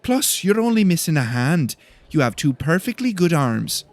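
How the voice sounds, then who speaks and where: A man's voice: a little high, very animated, moderate pace, pitched above the performer's own voice. A man voicing a proud, prickly young female student who speaks in a short, huffy way in an audiobook. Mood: defiant. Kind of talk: audiobook character dialogue.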